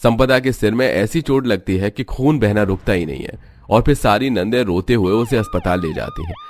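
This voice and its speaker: A man's voice: lowish voice, wildly swooping pitch, moderate pace. A man giving scripted narration for a cartoon family story as its narrator.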